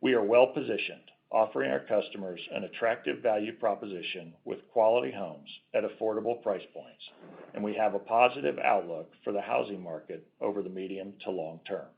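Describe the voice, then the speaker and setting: A man's voice: on the low side, brisk, vocal fry. A man speaking as a company executive, reading a scripted financial presentation on an earnings call. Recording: dull conference call audio.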